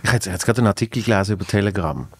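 Low-voiced young man with Basel accent. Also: very fast, breathy edge. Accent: Basel Swiss German. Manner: hesitant, repeats words, laughs often. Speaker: podcast host. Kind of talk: casual conversation. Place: dark podcast studio at a table. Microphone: studio desk mic on a boom arm.

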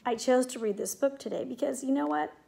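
A middle-aged woman teacher speaking in simple, clear phrases to young children, as in a read-aloud or classroom demonstration. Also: high-pitched, brisk, dark tone, a little hiss or hum. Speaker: teacher making videos for pupils. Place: indoors at home, living room and kitchen. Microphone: device mic at about arm's length.

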